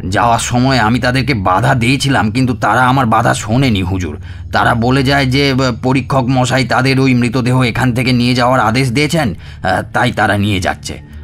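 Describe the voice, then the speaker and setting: A man's voice: medium pitch, fairly quick, a bit bright, lightly acted. A man playing a servile, deferential cemetery worker making excuses in a radio drama. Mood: pleading, evasive. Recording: music bed under the voice.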